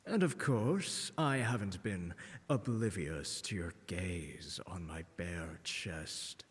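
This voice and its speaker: A man playing a haughty, condescending, seductive scholar in an ASMR audio roleplay, speaking in a pompous, teasing drawl with deliberate emphasis. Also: deep voice, expressive, husky, full character voice. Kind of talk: ASMR roleplay, scripted lecture to the listener. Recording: long hall-like reverb.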